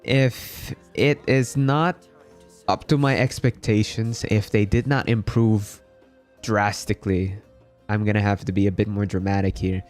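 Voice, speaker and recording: A man's voice: fairly low pitch, fairly even intonation, unhurried, a little mellow. Casual male game streamer, music behind him. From Philippines. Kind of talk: casual livestream chat.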